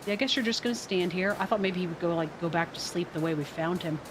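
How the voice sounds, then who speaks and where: A woman's voice: on the low side, quick pace, background noise. A woman streaming a game live, thinking aloud as she plays and answering her chat. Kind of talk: casual live gameplay commentary. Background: game music.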